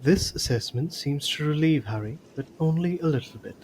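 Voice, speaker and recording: A man's voice: fairly high pitch, lively intonation, normal speed, a little mellow, a little hiss or hum. A man narrating a scripted story for an audio drama.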